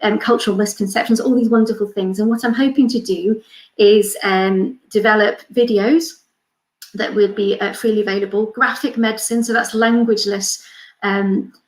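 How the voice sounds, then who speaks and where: A woman's voice: mid-range voice, fairly even intonation, normal speed, reverberant, low-quality recording. A Welsh woman in her forties, a respiratory consultant, talking earnestly on a panel with many fillers and restarts. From Wales. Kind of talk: panel talk.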